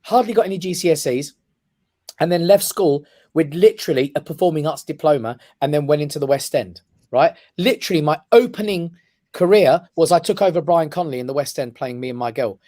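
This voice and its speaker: A man's voice: on the high side, expressive, brisk, dark tone. A man, an emphatic and passionate radio guest. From England. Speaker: guest, comedy club owner. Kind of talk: casual radio interview. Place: radio studio.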